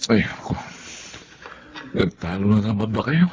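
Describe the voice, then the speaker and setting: A man's voice: lowish voice, lively intonation, unhurried, darkish tone, muffled recording, slight background noise. A man playing a placating, questioning middle-aged Filipino husband loyal to his mother in a radio drama. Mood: concerned.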